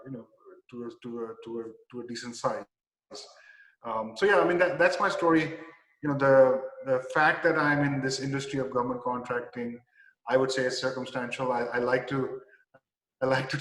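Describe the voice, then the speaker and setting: A man's voice: mid-range voice, a bit bright. Man with a Pakistani accent in an echoey room. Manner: halting, many restarts and "you know" fillers, easy chuckles. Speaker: company president, guest. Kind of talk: casual interview answers. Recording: echoey room with long reverb.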